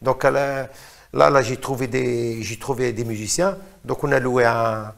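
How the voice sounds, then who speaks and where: A man's voice: medium pitch, steady tone, unhurried, gravelly, a bit bright. A middle-aged man from Morocco, a singer, talks in a casual interview, hesitant, often repeating words as he searches for phrases.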